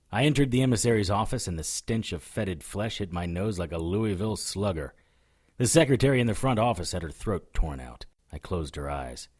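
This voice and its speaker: A man playing a hard-boiled occult detective in an audio drama, narrating and talking in a dry, sardonic way with a husky voice. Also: on the low side, a little mellow. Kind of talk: first-person noir narration and dialogue in audio drama.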